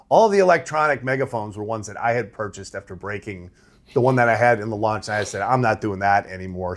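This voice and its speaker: A middle-aged man with a big voice, a rowing coach answering interview questions in a relaxed, conversational way. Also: medium pitch. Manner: conversational, laughs easily, says right? and you know.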